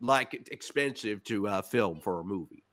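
A man's voice: fairly low pitch, lively intonation, normal speed, a bit bright. A man co-hosting a podcast, joking and rambling energetically in casual comedic banter. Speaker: podcast co-host.

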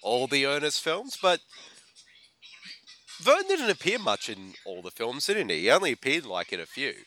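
A man co-hosting a casual movie commentary podcast, chatty and quick to laugh. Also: mid-range voice, huge pitch swings, bright, forceful tone, a little hiss or hum. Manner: chatty, laughs often, self-deprecating. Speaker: podcast co-host.

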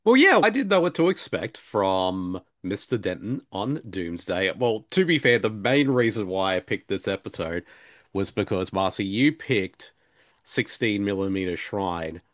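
A man's voice: on the low side, very animated, unhurried, fairly bright tone, dry recording, studio quality. A man chatting casually as a podcast co-host in a jokey, rambling way. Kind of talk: casual discussion chat.

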